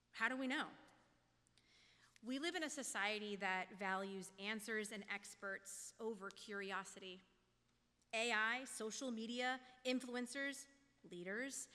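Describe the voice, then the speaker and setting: A woman giving a prepared, reflective sermon as a lay speaker, in a measured, questioning manner. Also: medium pitch, sharp tone. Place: church sanctuary. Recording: long church hall reverb.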